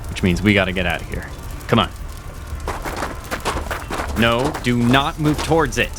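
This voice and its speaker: A man's voice: medium pitch, animated, a little slow, noisy background, lightly acted. An actor playing a stern male leader and mentor in magic who speaks firmly in an audio drama. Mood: commanding. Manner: firm, commanding delivery. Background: music.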